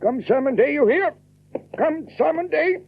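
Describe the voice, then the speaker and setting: A man's voice: extremely high pitch, expressive, normal speed, creaky, full character voice. A man playing a ruthless, pious Puritan colonel in an audio drama, speaking in commanding, formal oratory full of scripture. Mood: threatening. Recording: narrow-band, old radio sound.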